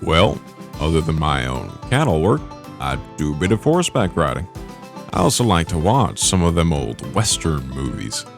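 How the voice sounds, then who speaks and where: A man playing a gentle, modest young cowboy who tends animals, with folksy talk, in an amateur audio drama. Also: rumbling low, very animated, moderate pace, gravelly, over music, heavily acted. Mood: easygoing, proud.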